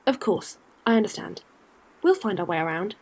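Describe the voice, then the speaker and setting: A woman's voice: medium pitch, very animated, moderate pace, a little mellow, breathy edge. A woman playing a friendly, practical alien courier in a science-fiction audio drama, easygoing and matter-of-fact. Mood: polite, businesslike.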